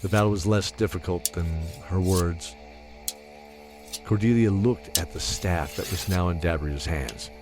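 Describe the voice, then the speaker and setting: A very low male narrator tells a fantasy story in a measured, solemn way. His scripted delivery is careful, and music plays clearly behind him.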